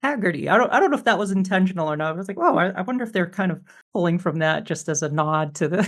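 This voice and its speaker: A man's voice: high-pitched, lively intonation, quick pace, fairly bright tone. A man hosting a podcast interview in a casual, enthusiastic fan's manner. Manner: enthusiastic fan, effusive. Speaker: podcast host.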